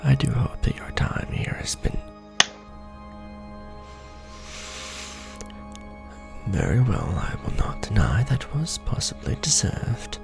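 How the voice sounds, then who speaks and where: Man acting a gravelly, half-whispering vampire lord. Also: medium pitch, wildly swooping pitch, heavily acted. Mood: dry, indignant. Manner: formal, archaic wording, measured delivery with pauses. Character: courtly undead vampire lord, ancient, patient, mannerly. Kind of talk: ASMR roleplay addressed intimately to the listener. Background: music.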